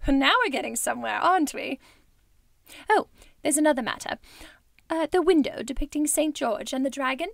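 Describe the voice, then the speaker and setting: A woman's voice: high voice, animated, a little fast, vocal fry, no echo, clean studio sound. A woman playing a wealthy, imperious widow in an audio drama, with a formal, commanding manner. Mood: self-satisfied. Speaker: actor in a drama.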